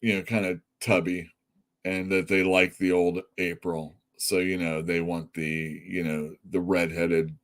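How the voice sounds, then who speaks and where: A man's voice: sits low, flat delivery, unhurried, a bit bright. A middle-aged man hosting a comic shop show, chatting casually and bluntly, rambling, swearing and laughing at his own jokes. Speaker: comic shop podcast host. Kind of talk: casual opinionated chat.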